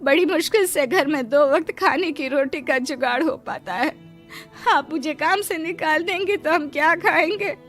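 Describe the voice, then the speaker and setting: Woman acting humble maidservant, high bright voice, deferential, pleading, audio story drama. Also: moderate pace, soft background music.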